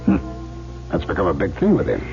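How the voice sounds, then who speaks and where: An actor playing a cool, ruthless gangster in an old-time radio drama, speaking persuasively and commandingly. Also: male voice, low-pitched, huge pitch swings, a little fast, gravelly, music in the background, full character voice. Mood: hard, insistent. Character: notorious male gangster in hiding, cool, coaxing, ruthless. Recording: muffled old radio recording.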